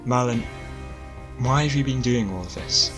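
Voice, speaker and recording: A man voicing a commanding but caring young king in an audiobook, firm, brisk and reassuring. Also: medium pitch, over music, pitched above the performer's own voice, close to an everyday voice. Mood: concerned, gentle. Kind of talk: audiobook character voice.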